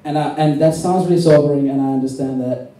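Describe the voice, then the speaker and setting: A man's voice: a little high, fairly even intonation, dark tone. A man from Sri Lanka giving an impassioned political speech at a public meeting, emphatic and intense. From born in Sri Lanka. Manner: emphatic, intense, deliberate rhetoric. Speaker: campaign speaker at a public meeting. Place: indoor public meeting venue. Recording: very echoey, distant, faintly noisy hall recording.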